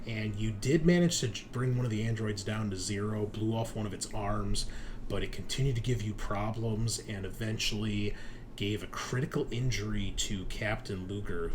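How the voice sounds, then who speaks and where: Male game master, lowish voice, conversational improvised storytelling for a tabletop session, noticeable background noise. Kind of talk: recapping and narrating a tabletop roleplaying session.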